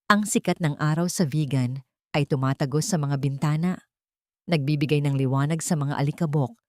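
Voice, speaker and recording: A woman's voice: deep voice, no echo, studio quality. A woman reading a scripted dramatic story as an audiobook narrator.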